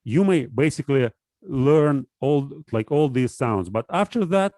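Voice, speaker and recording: A man talks through an idea in casual conversation, stopping and restarting often as he searches for words. His voice sits at an ordinary middle pitch. The sound is close and dry, with no room echo. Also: animated, mellow. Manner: halting, full of restarts and fillers while explaining.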